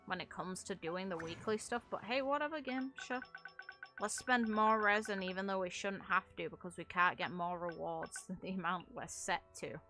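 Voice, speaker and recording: A woman's voice: lowish voice, normal speed, bright, forceful tone, soft background music. A woman streaming a game in casual, rambling commentary, talking in a blunt, exasperated, sweary way. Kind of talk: casual ranting gaming commentary.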